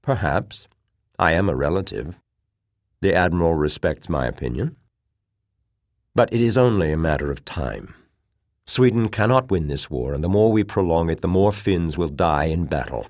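A man's voice: deep voice, expressive, moderate pace, darkish tone, dry recording, studio quality. A man voicing a fervent, bitter, scornful aristocratic officer in an audiobook, with a ringing, heated delivery. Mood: smug. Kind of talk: dramatized audiobook dialogue.

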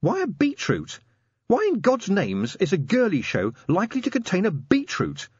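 A man's voice: sits high, wildly swooping pitch, moderate pace, breathy edge, dry recording, lo-fi sound, pitched above the performer's own voice, full character voice. Man voicing a snappish, bossy female werewolf sergeant. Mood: exasperated. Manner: snappish, commanding. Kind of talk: audiobook character acting.

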